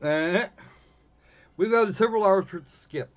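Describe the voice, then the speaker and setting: A man hosting a livestream in casual chat, wry and snarky, with halting phrasing. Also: high voice. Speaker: livestream host. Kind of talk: casual game stream chat. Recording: dull lo-fi microphone sound.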